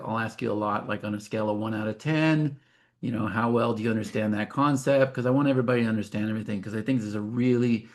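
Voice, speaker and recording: A man's voice: medium pitch, creaky. A man of fifty giving an informal sales presentation as a webinar host, talking conversationally with folksy asides.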